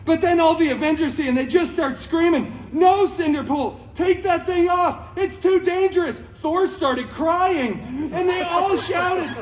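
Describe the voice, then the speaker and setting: A man playing a flamboyant, sarcastic antihero, telling a comic parody fairy tale to an audience with dramatic pauses. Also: extremely high pitch, voice pushed up. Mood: excited, dramatic. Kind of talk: comic live storytelling to an audience. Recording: roomy, distant live event with music behind.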